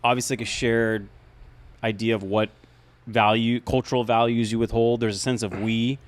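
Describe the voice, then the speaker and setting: A man with a mid-range voice talks casually on a podcast. He thinks aloud, pausing and restarting his sentences often. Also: medium pitch, level tone. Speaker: podcast co-host. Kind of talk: casual chat.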